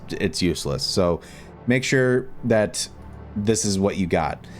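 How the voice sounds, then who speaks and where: A man explaining steps in a casual coding tutorial, haltingly thinking aloud as he goes. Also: deep voice, a little mellow. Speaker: tutorial host.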